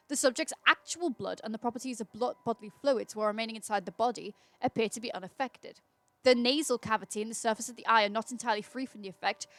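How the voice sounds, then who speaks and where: A woman's voice: a little high, no echo. A woman hosting a livestream, chatting casually and reading aloud informally, with small stumbles and self-corrections. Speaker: livestream host.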